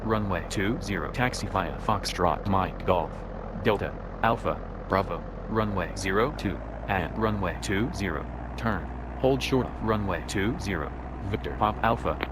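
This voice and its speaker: A man's voice: low voice, measured pace, pauses often. A man voicing a businesslike light aircraft pilot in a simulator game, making clipped, formal radio calls. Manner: clipped, procedural. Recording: clear aircraft engine noise.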